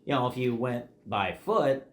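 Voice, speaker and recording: A man's voice: medium pitch, expressive, normal speed, reverberant. Man, podcast co-host, laid-back, casual, lots of fillers. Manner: laid-back, many "like" fillers, asks questions. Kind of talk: casual chat.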